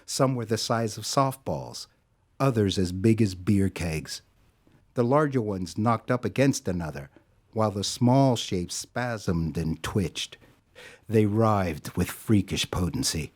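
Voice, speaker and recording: A man narrating an audio drama in a measured, deliberate storytelling style. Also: lowish voice, expressive, darkish tone.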